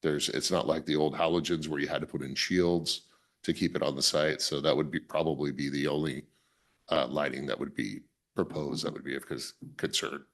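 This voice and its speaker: Man, very low voice, raspy, worn, restarts sentences, public meeting. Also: steady tone, fairly quick. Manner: self-correcting, restarts often. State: raspy voice from a weekend tournament. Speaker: applicant presenting a site plan.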